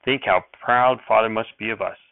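A man voicing a gentle, composed pioneer mother who speaks quietly and politely, heard over a tinny radio recording. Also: on the low side, normal speed, vocal fry, no echo.

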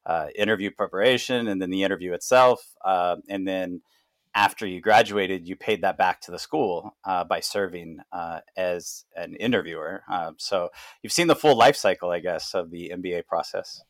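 Deep-voiced man hosting a podcast interview. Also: no room sound. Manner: frequent "uh" fillers, measured. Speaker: podcast host, founder of consulting firm. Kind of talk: casual interview.

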